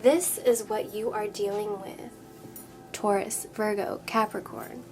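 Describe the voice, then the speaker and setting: A woman reads tarot for her viewers in a mid-pitched, slightly nasal, stuffed-up voice. She speaks earnestly and soothingly, sliding often into a soft whisper. Music plays clearly behind her. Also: steady tone, slow pace, poor audio. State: congested, a bit nasal. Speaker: tarot reader. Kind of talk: spoken tarot reading to viewers. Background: background music.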